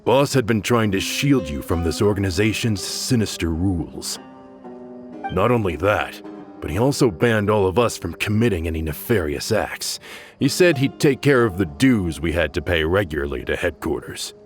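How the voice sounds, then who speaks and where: A bitter, vengeful desert gang man in a video game. His fairly low voice moves dramatically up and down, pointed in delivery, over background music. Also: lively intonation, normal speed. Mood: bitter, earnest. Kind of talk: scripted game voice acting.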